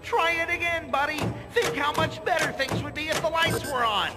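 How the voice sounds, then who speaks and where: A man's voice: extremely high pitch, moderate pace, in a large hall, over loud music. A man voicing a cowardly, hungry young slacker in a cartoon game, whiny and skittish with hippie slang. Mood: nervous.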